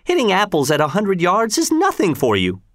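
Casting: audiobook reader as imperious, cruel male governor; mocking, lifted pitch with big swings, dry sound. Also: huge pitch swings, normal speed, dry recording. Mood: stern, taunting. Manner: mocking, commanding, falsely reasonable.

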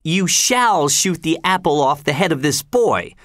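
Casting: audiobook reader as imperious, cruel male governor; mocking, lifted pitch with big swings, dry sound. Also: big pitch swings, measured pace, no room sound. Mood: stern, taunting. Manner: mocking, commanding, falsely reasonable.

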